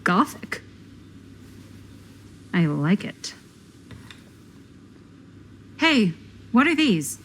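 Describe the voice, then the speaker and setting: A young American woman in a video game, medium in pitch. She is cool, dry and knowing, with understated delivery over faint ambient noise. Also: very slow, long pauses, heavily acted. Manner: deadpan, understated. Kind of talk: naturalistic video game acting.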